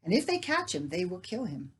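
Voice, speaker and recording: A woman's voice: lowish voice, huge pitch swings, a little fast, slightly rough, heavily acted. A woman voicing a stern, serious middle-aged male baker who speaks in a grave, firm way.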